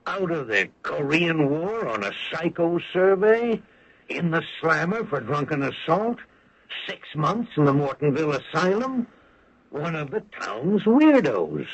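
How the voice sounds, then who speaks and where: Animated, pushy American prosecutor. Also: male voice, fairly high pitch, a little slow, slight background noise. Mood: insistent, accusing. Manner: insistent, argumentative. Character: pushy, suspicious male prosecutor hunting a killer. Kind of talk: 1980s radio drama acting. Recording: dull, band-limited old radio broadcast.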